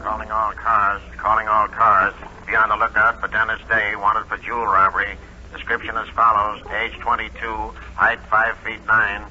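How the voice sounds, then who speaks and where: An actor playing a police dispatcher reading a bulletin in a deadpan official monotone for a 1940s radio comedy. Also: male voice, on the low side, moderate pace. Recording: muffled, noisy 1940s radio recording.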